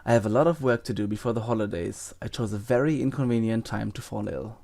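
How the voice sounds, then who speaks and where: An actor in an audio drama playing a gentle, weary wizard teacher who explains things in a grave, measured, reassuring way. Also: male voice, lowish voice, gravelly. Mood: measured, reluctant.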